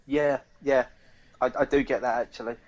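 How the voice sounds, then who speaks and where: Guy doing casual podcast review chat, medium pitch, kinda halting and repeats himself, bit muffled lo-fi audio. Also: steady tone. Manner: halting, repeats words as he thinks aloud. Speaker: podcast co-host. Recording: dull, slightly lo-fi voice recording.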